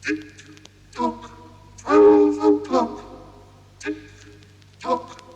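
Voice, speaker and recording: A performer voicing the talking clock of an automated house in a radio drama, chanting with slow ticking repetition. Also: high voice, fairly even intonation, muffled recording. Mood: mechanical chanting. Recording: radio drama with music behind.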